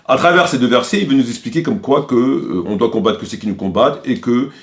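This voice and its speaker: A man giving an unscripted, polemical religious lecture, emphatic and halting, repeating phrases and posing rhetorical questions. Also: mid-range voice. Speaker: religious commentator.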